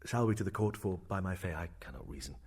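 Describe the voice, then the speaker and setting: A man performs a brooding young prince of Shakespearean drama in a lowish voice. He is sardonic and theatrical, measured in his lines, and slips into hushed asides while playing at madness. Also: animated, husky. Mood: wry, probing. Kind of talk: Shakespearean stage acting in verse and prose.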